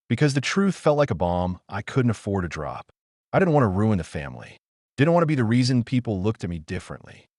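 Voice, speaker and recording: A male actor plays a haunted, introspective man confessing in a one-man audio drama. His low voice moves widely in pitch while staying grave and deliberate. The phrases are measured and separated by pauses, in a close, dry recording. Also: no room sound. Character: wounded, introspective man haunted by childhood trauma. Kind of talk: dramatic monologue.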